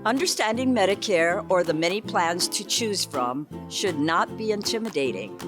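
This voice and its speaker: Woman, lower register, calm testimonial read, music underneath. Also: moderate pace. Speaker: ad testimonial speaker.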